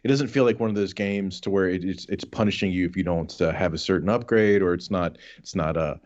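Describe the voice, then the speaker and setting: Deep-voiced man, dull lo-fi audio. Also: brisk, darkish tone. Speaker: podcast panelist. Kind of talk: casual game review chat. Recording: dull, band-limited voice audio.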